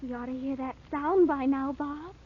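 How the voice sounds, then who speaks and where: A timid, plaintive young girl acted by a woman in an old radio serial. Her voice is very high and apprehensive, and the recording is dull and muffled. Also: moderate pace, slight background noise, close to an everyday voice. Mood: uneasy. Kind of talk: 1930s-40s radio drama acting.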